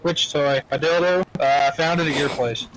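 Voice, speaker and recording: A man's voice: fairly high pitch, steady tone, moderate pace, fairly bright tone, rough recording. A man chatting casually during an online roleplay game, swearing freely as he banters with other players. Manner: profane, bantering. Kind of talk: casual gaming voice chat.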